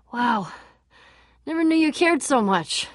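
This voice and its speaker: Feisty young fighter girl for a game, wry, high voice, swings all over, dry studio sound. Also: expressive, drawn-out words, dry recording, exaggerated voice. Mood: wry, warm. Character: feisty, sardonic young woman warrior in a video game. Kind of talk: animated game voice acting.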